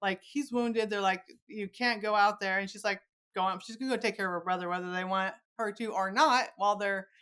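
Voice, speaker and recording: A woman's voice: mid-range voice, fairly even intonation, fairly quick, a bit bright, clean tone. Casting: female podcast host, mid-range voice, agreeable, informal interview chat. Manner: agreeable, conversational, uses "like" often.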